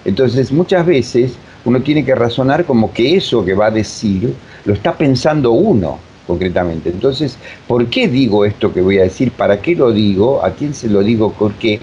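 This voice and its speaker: A mature man with an Argentine accent explaining reflectively as an interview guest, with emphatic repetition and deliberate pauses. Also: mid-range voice, expressive, dark tone. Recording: dull lo-fi sound, faint noise.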